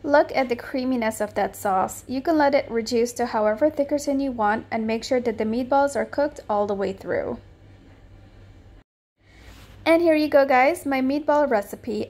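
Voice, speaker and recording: A woman with a slightly high voice narrates a cooking tutorial as the channel's host. Her delivery is friendly and upbeat, explaining each step plainly for viewers at home. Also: a little mellow.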